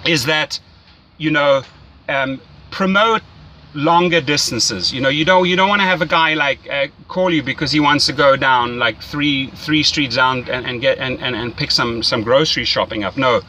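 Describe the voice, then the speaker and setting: A man's voice: medium pitch, moderate pace, pushed and bright, a little hiss or hum, rough recording. A man giving casual, enthusiastic, salesy coaching as a rideshare mentor, often checking in with "right?". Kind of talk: casual coaching phone call.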